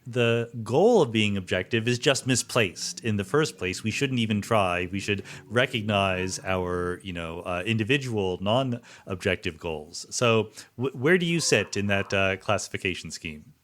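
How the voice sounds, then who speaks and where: A man's voice: lowish voice, expressive, a little slow, few pauses, fairly bright tone. A man hosting a podcast, speaking in an articulate, explanatory way. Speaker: podcast host. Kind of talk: podcast hosting, interview talk and ad read.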